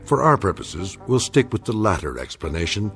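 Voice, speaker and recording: An actor playing a solemn, wry narrator, delivering measured scripted narration for a radio drama. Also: male voice, low voice, sing-song, a bit bright, faint music behind, heavily acted.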